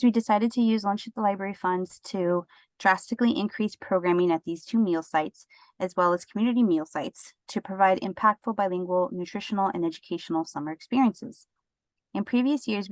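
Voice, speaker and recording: A woman's voice: medium pitch, dry recording. A woman branch manager giving a webinar presentation, reading steadily from her slides.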